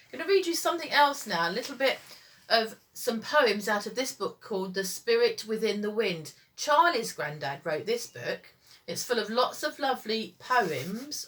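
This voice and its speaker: Animated woman reading a children's story. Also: medium pitch.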